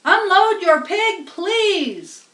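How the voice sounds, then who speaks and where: A woman plays a grand, pompous fair announcer from a storybook, pitched very high and proclaiming each phrase formally. The delivery is loud and unhurried, in a roomy, distant recording. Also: big pitch swings, exaggerated voice. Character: pompous fairground loudspeaker announcer, grand and booming. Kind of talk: storybook character voice.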